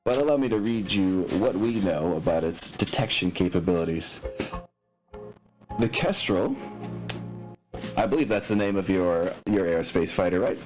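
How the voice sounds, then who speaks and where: Low-voiced man running a tabletop game. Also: animated, normal speed, long pauses, darkish tone, rough recording. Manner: casual, hesitant, thinking aloud. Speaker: game master. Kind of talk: narrating a tabletop mech game. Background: background music.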